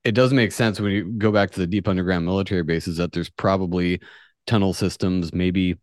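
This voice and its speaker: A man's voice: sits low, steady tone, brisk, dry recording, studio quality. A man co-hosting a podcast, chatting casually in a blunt way with some swearing. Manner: blunt, profane. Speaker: podcast co-host. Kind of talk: casual chat.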